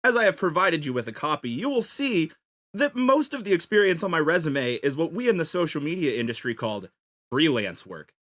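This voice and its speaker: Podcast panelist, animated and varied in pitch, selling an improvised comic pitch with playful rhetorical questions. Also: brisk.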